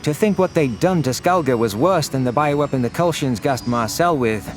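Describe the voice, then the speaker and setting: A man's voice: medium pitch, animated, normal speed, soft background music. A man reading scripted first-person science fiction narration as a story narrator. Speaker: narrator of audio stories.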